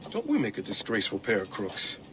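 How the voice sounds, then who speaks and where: A man's voice: on the low side, wildly swooping pitch, a little fast, background noise. A man playing a roguish, eager conspirator in a video game, sly and spirited. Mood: brisk, sly.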